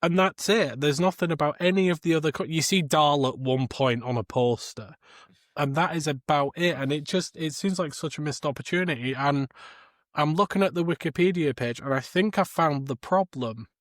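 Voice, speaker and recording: Higher-voiced man, casual critic. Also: normal speed, dry recording, studio quality. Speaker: podcast co-host. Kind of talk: casual film discussion.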